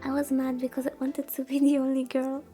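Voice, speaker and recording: A woman streamer with a very high, soft-edged voice plays a visual novel over faint music. She rambles casually, reacting aloud to the game between bits of reading. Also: monotone, fairly quick, slightly rough. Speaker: VTuber streamer.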